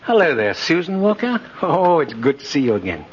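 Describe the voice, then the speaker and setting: A man's voice: on the high side, big pitch swings, brisk. An actor playing a kindly, courteous old man who insists he is Santa Claus, in a 1950s radio drama. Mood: genial, sincere. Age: older. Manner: jolly, chuckling, gently courteous. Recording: muffled noisy old radio recording.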